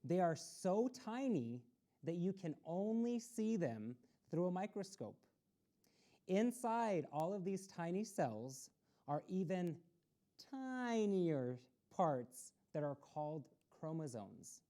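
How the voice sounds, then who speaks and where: A woman's voice: lowish voice, big pitch swings, takes it slowly, warm tone. A woman hosting a children's story time, reading aloud and explaining things simply, posing questions to young listeners.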